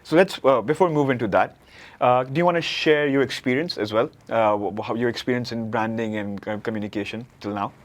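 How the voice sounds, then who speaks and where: A man's voice: medium pitch, fast talker. Guy hosting a podcast, kinda hesitant, says uh and like a lot. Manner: hesitant, many fillers and backchannels. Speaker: podcast host. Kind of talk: casual interview chat.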